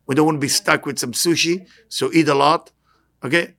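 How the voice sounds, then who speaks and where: Higher-pitched Israeli man giving a spiritual talk. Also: steady tone, brisk, pushed and bright. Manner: emphatic, repeats short phrases. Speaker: spiritual teacher. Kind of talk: inspirational talk with anecdotes to a live audience.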